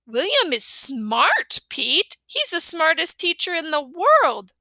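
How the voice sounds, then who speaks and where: Storybook little boy, pushy, chatty, very high; woman reader doing it. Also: huge pitch swings, takes it slowly, sharp tone, dry recording, heavily acted. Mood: excited. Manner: eager, wheedling.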